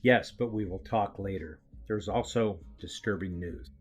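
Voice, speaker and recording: A man's voice: fairly low pitch, natural voice. Older male community elder played by an actor: grave, kindly, formal audio drama delivery over faint music. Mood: grave, reassuring. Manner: formal, measured, solemn. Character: grave, kindly elder leader and aging teacher.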